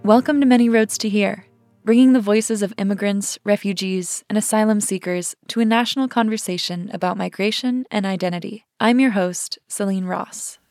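A woman hosting a podcast, reading scripted narration in a measured, formal way. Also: mid-range voice, fairly even intonation, darkish tone, dry recording, soft background music. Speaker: podcast host.

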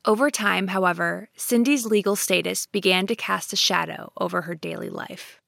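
Woman narrator with a gravelly edge. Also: medium pitch, moderate pace, no room sound, studio quality. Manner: calm, even storytelling delivery. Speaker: reporter. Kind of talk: scripted narration.

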